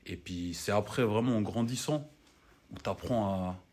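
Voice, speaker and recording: Very low-voiced man, chatty hockey player. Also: a little slow, husky. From Cameroon. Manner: relaxed, chatty, peppers talk with "tu vois". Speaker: ice hockey player, guest. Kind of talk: casual interview chat.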